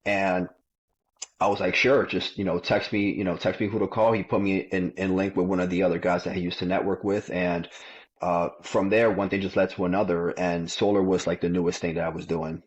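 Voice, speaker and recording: A man's voice: deep voice, little pitch movement, fairly quick, breathy edge, highs cut off. A man in his thirties, a podcast guest, telling his story in casual chat and often repeating words. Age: 30s. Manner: repeats words often, tells stories plainly.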